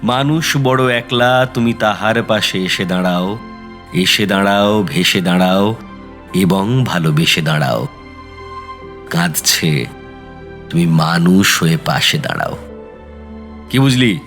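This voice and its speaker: An actor playing a kindly, easygoing middle-aged neighbourhood bachelor in an audio drama, speaking colloquially with breezy earnestness. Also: male voice, deep voice, lively intonation, slow pace, pauses often, a bit bright, heavily acted. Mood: tender, reflective. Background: background music.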